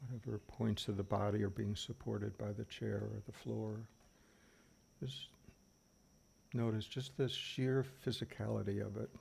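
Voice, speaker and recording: Low, very creaky man guiding meditation. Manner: gentle, unhurried, with long pauses. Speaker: meditation teacher. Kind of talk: guided meditation, unscripted. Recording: steady background noise of fans and birds. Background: fans, birdsong and insects.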